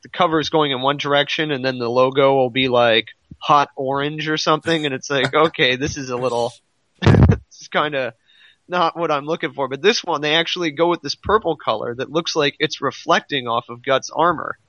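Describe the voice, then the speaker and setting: Rambling man with a bright, forceful voice. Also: medium pitch, a little fast, dry recording, dull audio. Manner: rambling, repeats himself, heavy use of "like". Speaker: podcast panelist. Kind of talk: casual fan discussion.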